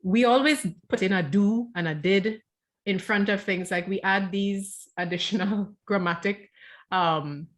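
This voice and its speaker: A woman's voice: medium pitch, unhurried. A Trinidadian woman, a guest author, explaining her writing thoughtfully in a casual book club chat over a video call, often restarting her phrases.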